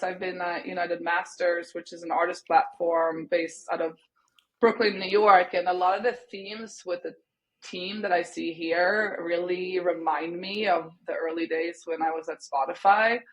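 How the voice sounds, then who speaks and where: Swedish-born woman, low voice, on a video call. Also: steady tone, unhurried, a bit bright, rough recording. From Sweden. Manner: thoughtful, hedging with "sort of" and "kind of". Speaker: guest. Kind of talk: casual interview talk. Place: home room, indoors.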